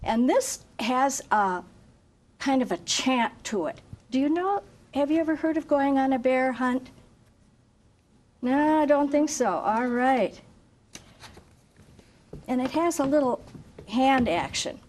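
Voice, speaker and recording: A woman with a slightly high voice reads a picture book to young children. She takes her time, falling into an expressive sing-song chant and drawing the listeners in as a teacher would. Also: slow, pauses often. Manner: asks listeners questions like a teacher. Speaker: volunteer story reader for children.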